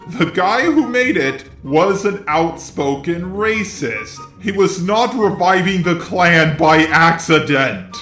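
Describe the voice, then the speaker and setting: A man's voice: high voice, expressive, slow pace, few pauses, fairly bright tone. Guy doing scripted video commentary, bit echoey, music playing under him.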